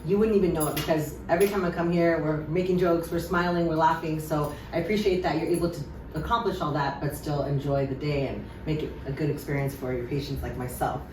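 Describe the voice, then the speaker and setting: A woman hosting a casual interview speaks in a somewhat low voice, enthusiastic and quick to laugh. She sounds a little far from the mic in an echoey room. Faint noise sits under her voice. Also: fairly even intonation. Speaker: interview host.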